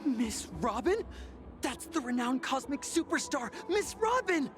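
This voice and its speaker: Young female game townsperson, slightly high. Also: big pitch swings, unhurried. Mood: starstruck. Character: excitable young female fan, a visiting guest. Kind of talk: video game voice acting. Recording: game music playing behind.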